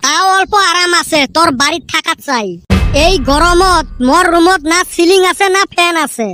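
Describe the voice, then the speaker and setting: A woman voicing a cheeky, nagging youngster in a comic cartoon, sharp-tongued and full of complaints. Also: very high-pitched, normal speed. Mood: complaining. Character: cheeky nagging youngster demanding comforts and marriage.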